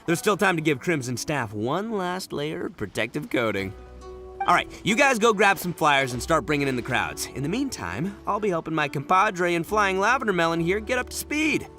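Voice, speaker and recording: A man's voice: sits high, pushed and bright, full character voice. A man playing a brash, goofy young gang boss in a video game, boastful and theatrical. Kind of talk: video game character acting. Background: music.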